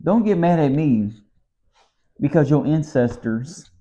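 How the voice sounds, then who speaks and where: A man's voice: fairly high pitch, lively intonation, measured pace, dark tone. An American man with a Southern accent, ranting in a weary, exasperated way in a casual vlog monologue. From United States. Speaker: vlogger. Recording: slightly dull lo-fi sound.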